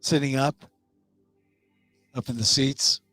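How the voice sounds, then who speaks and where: A middle-aged man with a hoarse, scratchy voice, hosting a live online talk show and giving loose, opinionated commentary with restarts. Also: medium pitch, steady tone, takes it slowly, pushed and bright, faint music behind.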